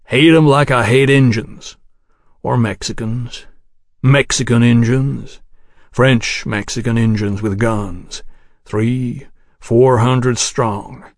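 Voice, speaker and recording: A male narrator plays a bitter, menacing in an audiobook. He drawls very slowly and tonelessly in terse, dry phrases, recorded close and dry. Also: medium pitch, fairly even intonation, dry recording. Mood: toneless hatred. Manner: terse, toneless, drawn-out delivery. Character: grim, menacing Texian man, weary and bitter.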